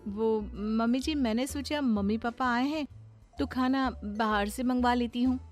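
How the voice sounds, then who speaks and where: A woman's voice: fairly high pitch, unhurried, faint music behind, natural voice. A woman voicing a boastful, breezy young daughter-in-law in a cartoon story, chatty and blithely self-excusing. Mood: explaining. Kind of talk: Hindi moral cartoon story acting.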